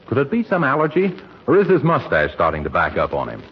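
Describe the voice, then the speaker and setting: Very animated vintage radio pitchman. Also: quick pace, slightly rough. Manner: polished, emphatic salesmanship. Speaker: radio announcer. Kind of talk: 1940s scripted radio advertising. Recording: muffled, noisy old radio recording.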